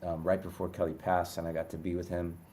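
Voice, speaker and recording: A man's voice: sits low, completely flat delivery, fast talker, dark tone. A man with a New York accent giving a heartfelt recorded video greeting to his former castmates. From Brooklyn, New York. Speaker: former cast member sending a video message.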